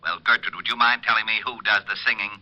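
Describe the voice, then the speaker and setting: A man's voice: fairly low pitch, fairly even intonation, fast, close to an everyday voice. An actor playing an exasperated, insistent man arguing on a telephone, in 1950s radio drama style. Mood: exasperated, skeptical. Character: exasperated man caller, arguing over the phone. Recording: dull vintage radio recording.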